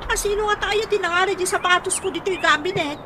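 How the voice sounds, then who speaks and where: A woman playing a vain, fussy mother with a sharp temper and an Ilocano accent in a radio comedy drama. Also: very high voice, quick pace. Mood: irritated, scolding. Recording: noisy radio drama recording, ambient sounds.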